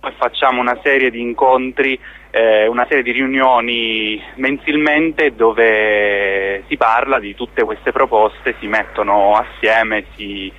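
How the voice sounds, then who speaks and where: A man speaks at a middle pitch as a guest in a radio interview. He explains things off the cuff, with frequent hesitations as he searches for words. The sound is dull and lo-fi. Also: steady tone, slow pace. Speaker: theatre company member being interviewed.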